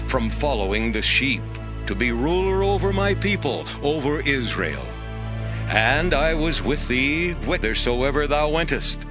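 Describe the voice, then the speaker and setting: Nathan the prophet speaks slowly and deliberately, his voice rising and falling with great expression, as a man acts him out. He sounds grave and steady in this audio drama. Clear music plays beneath his lines. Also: mid-range voice, lightly acted. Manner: slow, deliberate prophetic delivery. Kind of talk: dramatized scripture reading.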